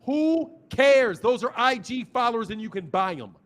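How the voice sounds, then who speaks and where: A man's voice: extremely high pitch, expressive, normal speed, fairly bright tone, slight background noise. A man in his fifties hosting a boxing channel, scolding and insulting a caller in a heated live argument. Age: 50s. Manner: insulting, scolding, repeats himself.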